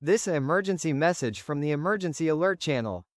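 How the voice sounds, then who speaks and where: Lively, higher male channel announcer. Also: fairly quick, very noisy. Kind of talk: scripted station announcement.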